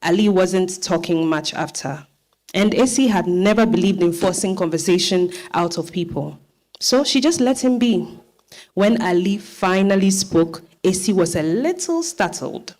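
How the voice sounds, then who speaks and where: A woman's voice: on the low side, lively intonation, a little slow, darkish tone, poor audio. A woman reading scripted narration for a dramatized stage reading.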